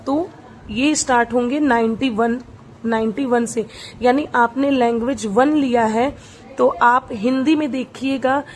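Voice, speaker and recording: High-voiced woman explaining an exam form. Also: fairly even intonation, a little slow, poor audio. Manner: repeats points, talks the listener through steps. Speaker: exam prep teacher.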